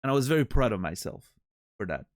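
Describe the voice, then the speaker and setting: A man's voice: fairly low pitch, big pitch swings, fast, dry recording, clean studio sound. Rambling, joking male streamer. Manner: rambling, self-interrupting, joking. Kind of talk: casual chat with live chat.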